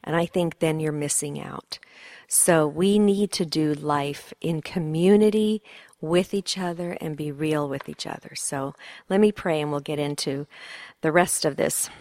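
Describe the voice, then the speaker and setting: A woman's voice: sits low, a little slow, a little mellow, no room sound. A middle-aged woman from Sweden, a pastor's wife giving a heartfelt, encouraging talk and prayer at a women's conference. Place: church conference hall.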